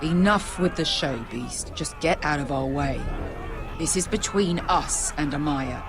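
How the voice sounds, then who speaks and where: An actor playing a hardened, cynical sellsword in a video game, giving blunt, grim warnings. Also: male voice, on the high side, a little slow, crowd noise. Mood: firm. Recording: clear outdoor background noise.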